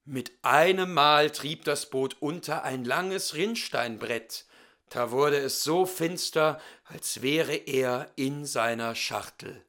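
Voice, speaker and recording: A man reading a fairy tale aloud as a storyteller, with deliberate, theatrical and expressive delivery. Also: a little high.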